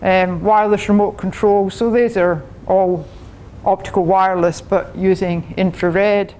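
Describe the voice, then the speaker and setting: A woman's voice: mid-range voice, steady tone, measured pace, mellow, slight background noise. An older Scottish woman, a professor, giving a chatty technical talk to a club, laughing often and restarting her sentences. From Scotland. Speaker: guest speaker, professor.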